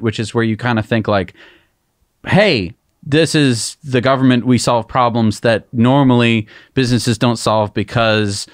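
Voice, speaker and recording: A man's voice: medium pitch, dry recording. Young male co-host, casual and chatty, dry close desk mic. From United States. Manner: thinks aloud with casual fillers. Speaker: podcast co-host. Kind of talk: casual interview chat. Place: studio with shelves, indoors. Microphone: desk mic on arm.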